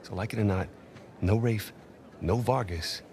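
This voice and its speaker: Casting: brash treasure hunter for a game, lowish gravelly voice, animated, streetwise. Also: male voice, measured pace, slight background noise. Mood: insistent. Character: cocky, streetwise older brother, male treasure hunter. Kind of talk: naturalistic video game acting. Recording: game ambience behind the voice.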